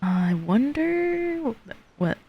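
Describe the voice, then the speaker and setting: A woman streaming a game with casual commentary, musing and thinking out loud as she reacts. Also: sits high, very animated, slow, lo-fi sound.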